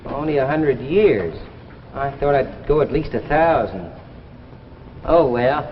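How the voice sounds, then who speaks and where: Excitable, earnest young time traveler. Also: male voice, medium pitch, slow pace, slight background noise. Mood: breathless, amazed. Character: eager, anxious young male lab assistant time traveler. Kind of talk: 1950s radio-era sci-fi drama acting. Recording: dull vintage broadcast, long reverb, music behind.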